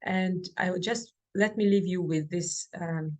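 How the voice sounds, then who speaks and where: A woman giving a webinar presentation over a video call. She speaks haltingly and fills pauses with many ums and restarts. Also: on the low side, darkish tone. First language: Kazakh. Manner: halting, with frequent fillers and restarts. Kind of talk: online presentation and Q&A.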